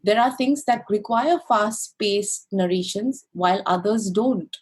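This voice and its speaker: A woman's voice: medium pitch, unhurried, breathy edge. A woman from India and Greece talking conversationally and reflectively as an interview guest, often saying "you know". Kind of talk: conversational personal storytelling.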